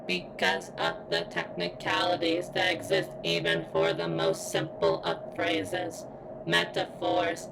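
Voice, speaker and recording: A woman voicing a guarded robot girl who yearns for sentience in an ASMR roleplay, speaking in stilted, formal, halting phrases with a strained, pained sound. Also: rumbling low, creaky, background noise, full character voice. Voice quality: strained, as if in constant pain.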